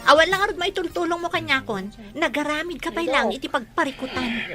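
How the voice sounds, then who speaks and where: A woman's voice: high-pitched, lively intonation, fairly quick, music in the background. A woman acting in a melodramatic radio drama as a scornful, taunting girlfriend. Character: scornful, taunting unfaithful girlfriend of an injured man.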